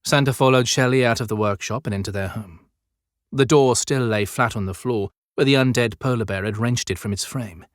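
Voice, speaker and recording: Male narrator, medium pitch, scripted storytelling, measured, descriptive, dry studio sound. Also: no room sound. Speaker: audiobook narrator.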